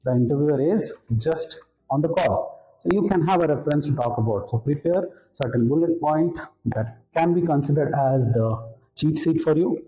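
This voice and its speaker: A man's voice: a little high, animated, normal speed, warm tone. A man with an Indian accent giving an instructional talk as an interview coach, didactic and repeating key points for emphasis. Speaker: interview skills coach, presenter. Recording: roomy, echoing room.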